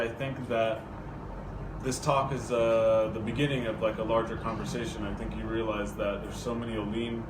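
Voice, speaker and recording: Man from New York, mid pitch, measured and hesitant, recorded far off in a noisy room. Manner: thoughtful, hesitant with ums. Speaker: forum participant. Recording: echoey room, distant mic, noisy.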